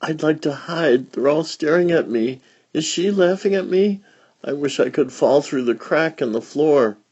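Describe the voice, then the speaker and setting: A man's voice: fairly high pitch, expressive, measured pace, darkish tone, slightly rough, muffled recording, pitched above the performer's own voice. A man voicing a shy new girl in class, in a timid and worried voice. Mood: anxious.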